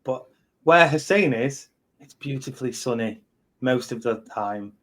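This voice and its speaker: A man's voice: mid-range voice, expressive, measured pace, fairly bright tone. A man in his thirties, hosting a live community chat as a company head, talking bluntly and rambling with lots of fillers and swearing. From England. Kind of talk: casual chat.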